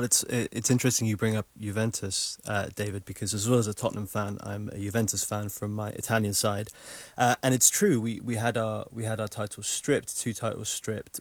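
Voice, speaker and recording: A man talking as a studio guest on the radio. His voice is on the low side. He speaks in a casual discussion style, recorded close and dry. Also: level tone, brisk, no echo. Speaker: studio guest, football fan.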